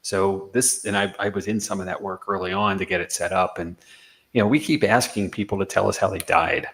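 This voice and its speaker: A man's voice: deep voice, steady tone, fairly quick, a bit bright. A man talking as a guest investigator in a casual podcast chat, earnest and thoughtful, repeating words as he searches for them.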